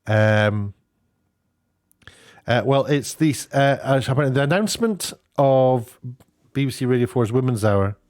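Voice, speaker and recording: A man giving casual, off-the-cuff commentary in a halting, rambling way, often breaking off to rephrase. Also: medium pitch, lively intonation, darkish tone, dry recording, clean studio sound. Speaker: online commentator, former broadcast worker.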